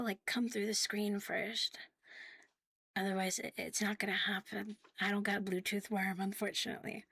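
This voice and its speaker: A woman hosting a live ASMR stream, chatting casually and playfully in a soft, breathy voice that often slips into a whisper. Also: medium pitch, no room sound. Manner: playful, giggly, teasing. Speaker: ASMR livestream host.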